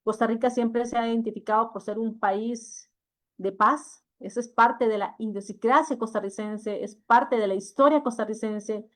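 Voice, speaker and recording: A woman from El Salvador leads a panel discussion as an educator, her voice sitting a little high. She speaks in a measured, thoughtful way, pausing often on fillers as she builds her points. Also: steady tone. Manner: measured, hesitant with frequent fillers.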